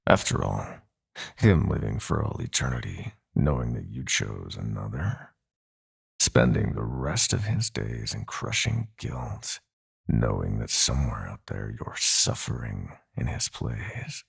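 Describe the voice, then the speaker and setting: Gravelly, very deep nightmare god villain. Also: male voice, sing-song, full character voice. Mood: gloating menace. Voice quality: gravelly, husky, breathy growl. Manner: slow, taunting, theatrical purring. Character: sadistic possessive male god of nightmares, silky menace. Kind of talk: dramatic audio roleplay villain.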